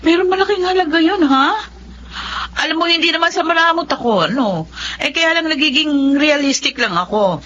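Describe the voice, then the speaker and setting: An actress playing a blunt, skeptical older sister in a radio drama. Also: female voice, sits high, expressive, moderate pace, a bit bright, highs cut off, a little hiss or hum.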